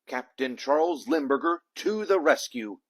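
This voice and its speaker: Heroic storybook mouse captain, performed by a man: shouted, goading, lifted pitch. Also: lively intonation, measured pace, husky. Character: bold, taunting heroic male mouse captain.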